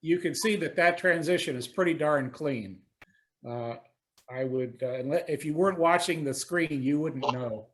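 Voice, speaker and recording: A man giving a technical presentation on a video call, speaking in a halting, hesitant way. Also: a little high.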